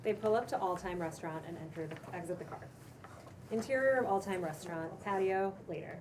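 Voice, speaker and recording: A woman with a slightly low voice reads screenplay action lines aloud as narrator. She is some distance from the microphone, with clear noise in the recording. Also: fairly quick. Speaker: stage directions reader. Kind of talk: cold read of screenplay directions.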